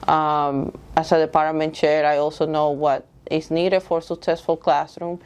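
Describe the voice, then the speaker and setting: Middle aged lady w dominican spanish accent, smooth clear voice, pretty low, giving a candidate pitch, kinda halting. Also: flat delivery, darkish tone. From Dominican Republic. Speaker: school board candidate. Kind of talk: candidate interview, semi-prepared pitch.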